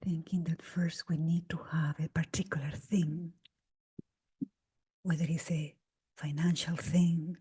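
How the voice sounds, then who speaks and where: A woman leading a guided meditation in a breathy, husky near-whisper, speaking gently in short phrases with long pauses. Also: sits low, little pitch movement. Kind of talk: slow guided meditation talk.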